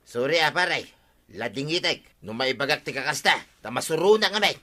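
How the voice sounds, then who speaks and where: Fretful farmer, complaining, apologetic, sharp bright voice, radio comedy. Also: male voice, a little high, animated, a little fast. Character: worried farmer robbed of his corn. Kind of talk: radio drama acting.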